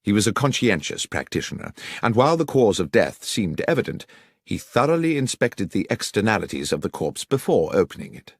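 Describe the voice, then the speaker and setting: Male audiobook narrator needed: low pitch, varied intonation, scripted storytelling. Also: sing-song, a little fast, breathy edge, no room sound, clean studio sound.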